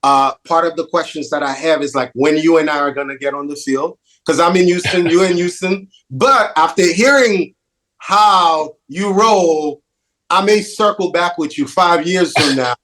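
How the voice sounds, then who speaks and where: A man's voice: fairly high pitch, moderate pace, low-quality recording. Haitian-New York man, upbeat host. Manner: animated, self-deprecating, repeats phrases. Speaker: podcast host. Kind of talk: casual interview chat.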